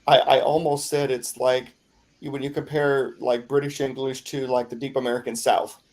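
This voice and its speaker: Gravelly man, hesitant chat. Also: medium pitch, level tone, normal speed, a little mellow. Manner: hesitant, self-correcting. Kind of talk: casual chat.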